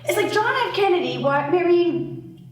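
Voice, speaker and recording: A woman's voice: extremely high pitch, animated, normal speed. A woman playing a tipsy, foul-mouthed, scornful young woman at a table read. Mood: shocked, scornful. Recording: very echoey room, distant mic, faint noise.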